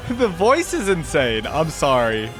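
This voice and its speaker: A man's voice: fairly high pitch, huge pitch swings, unhurried, over music. A man giving enthusiastic, informal reaction commentary as the host of a fan channel.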